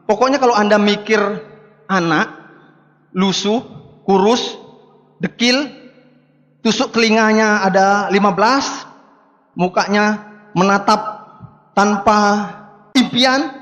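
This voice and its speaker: A man's voice: high-pitched, fairly bright tone. A man gives a motivational talk in a conversational way, putting rhetorical questions to his listeners. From Bali, Indonesia. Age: 50s. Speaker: motivational speaker. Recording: echoing hall, long reverb.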